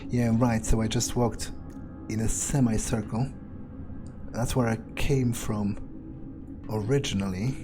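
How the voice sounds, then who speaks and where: A man giving in-character gameplay commentary, thinking aloud in a musing, hesitant way with pauses mid-phrase. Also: lowish voice, fairly even intonation. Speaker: gamer doing an in-character playthrough. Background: game music.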